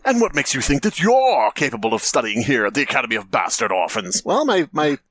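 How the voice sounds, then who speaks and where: A man's voice: mid-range voice, very animated, fairly quick, a bit bright, no room sound, clean studio sound. A man co-hosting a podcast, improvising comic bits in casual, sweary banter and acting out dialogue as he goes. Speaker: podcast co-host.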